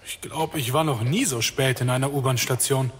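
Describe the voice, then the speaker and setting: A man's voice: medium pitch, normal speed, husky, close to an everyday voice. A man playing a nervous young man who stammers and hesitates. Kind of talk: audio drama acting.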